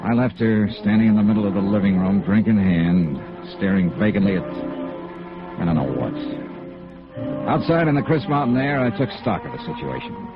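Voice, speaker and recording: Male actor as hard-boiled American radio detective, low swooping delivery, clipped, insistent, 1950s noir style, dull vintage recording. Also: lively intonation, normal speed, over loud music, natural voice. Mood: dry narration. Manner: clipped, matter-of-fact, insistent. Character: hard-boiled, persistent male insurance investigator. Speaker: lead actor and narrator of a radio detective drama.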